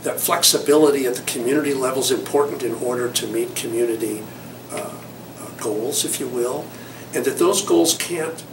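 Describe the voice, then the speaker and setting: An older man from Southern California reflects on his working life in a slow, rambling way, often pausing on fillers. He sits well back from the mic in an echoey room, with steady noise behind him. His voice sits at an ordinary middle pitch. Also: recorded from a distance. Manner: rambling, thoughtful, many fillers. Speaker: award recipient interviewee. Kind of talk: reflective interview recollection.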